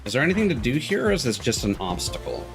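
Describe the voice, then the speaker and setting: A man's voice: mid-range voice, sing-song, fast talker. A man giving casual live commentary as he streams a video game. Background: game music.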